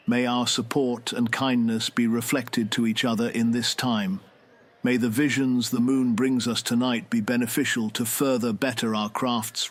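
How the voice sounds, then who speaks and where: A man's voice: medium pitch, lightly acted. A man playing a benevolent village council leader in a fantasy audio drama, giving a formal, oratorical blessing.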